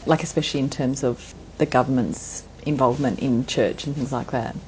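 Aussie lady host, lowish voice, friendly questions, a bit of faint hiss behind. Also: fairly even intonation, fairly quick, a little mellow, poor audio. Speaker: podcast host. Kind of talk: interview chat.

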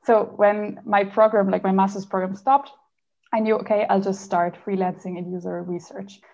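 A woman's voice: mid-range voice, steady tone, moderate pace, a little mellow, highs cut off. A woman from Germany chatting casually as an interview guest, telling her career story in a rambling way with lots of "like". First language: not French. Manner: chatty, rambling, frequent "like" and laughs.

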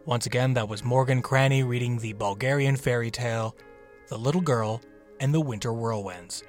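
A man's voice: mid-range voice, normal speed. A man giving a scripted outro as the presenter of a story show. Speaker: podcast presenter. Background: music.

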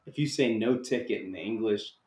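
A man's voice: fairly low pitch, moderate pace, a little mellow. A man co-hosting a podcast, chatting about a movie with wisecracks and frequent laughs. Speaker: podcast co-host. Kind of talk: casual movie chat. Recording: roomy echoey room.

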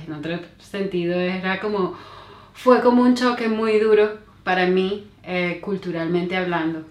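A Venezuelan-accented woman in her thirties speaks in an unhurried, halting way, often circling back and starting over. Her voice sits at a medium pitch and carries a noticeable room echo. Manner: hesitant, many fillers and restarts. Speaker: interview guest. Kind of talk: casual conversational interview.

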